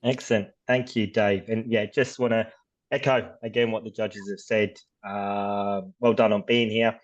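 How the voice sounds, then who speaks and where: Flat-toned man hosting over dull audio. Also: fairly low pitch, unhurried. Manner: hesitant, lots of uh and um. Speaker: event host, sponsor representative. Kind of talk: hosting an online competition. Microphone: video call. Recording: dull lo-fi video call audio.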